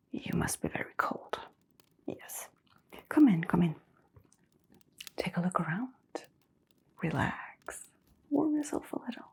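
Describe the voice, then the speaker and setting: Casting: woman as gentle, welcoming bookshop keeper for ASMR storytelling; whispered, creaky, murmuring delivery. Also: fairly high pitch, exaggerated voice. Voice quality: soft breathy whisper with creak. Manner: unhurried, murmuring, pausing with little hums.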